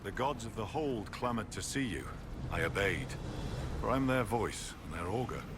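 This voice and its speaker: Guy doing a solemn oracle shaman for a fantasy game, really low and swooping, ceremonial, noisy ambience. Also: expressive, lightly acted. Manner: ceremonial, unhurried, oracular. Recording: clear ambient noise behind voice.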